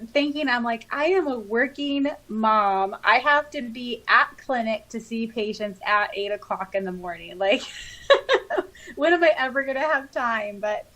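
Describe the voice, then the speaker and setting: A woman's voice: a little high, expressive, unhurried, sharp tone, poor audio. A woman co-hosting a podcast in casual chat, with an informal, giggly, self-deprecating way of talking. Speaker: podcast co-host.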